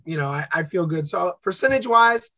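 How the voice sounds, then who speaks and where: A man chatting casually as an interview guest, telling stories in an upbeat, animated way. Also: sits high, brisk, no echo. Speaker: guest content creator.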